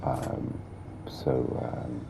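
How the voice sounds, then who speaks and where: Man, very deep and gravelly, blocked-up and hoarse, casual muttering sim-driving commentary over a faint background hiss. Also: completely flat delivery, extremely slow. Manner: chatty, muttering through cab controls. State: sore throat, blocked nose. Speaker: gameplay streamer.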